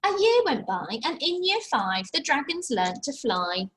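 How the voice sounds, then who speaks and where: A woman's voice: sits high, big pitch swings, a little slow, a bit bright. A woman teacher reading a picture book aloud to young children, expressively, with simple chatty asides and questions. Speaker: infant school teacher.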